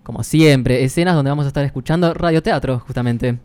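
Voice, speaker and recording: A woman's voice: rumbling low, fairly quick. A woman. She co-hosts a podcast and explains things in a casual, conversational way. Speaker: podcast co-host.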